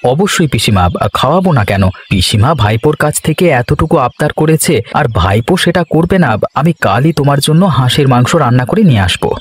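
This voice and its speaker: A man's voice: mid-range voice, expressive, fast talker, few pauses, a little hiss or hum, close to an everyday voice. A man voicing a dutiful, good-natured husband and nephew in a cartoon, obliging and eager to explain. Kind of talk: cartoon voice acting.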